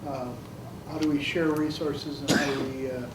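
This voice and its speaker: Even-toned, higher-voiced man at a distance. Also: normal speed. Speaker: community organization worker, workshop participant. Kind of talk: informal self-introduction to a group. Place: large echoey room, mic at a distance. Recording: echoey room, distant mic, clear background noise.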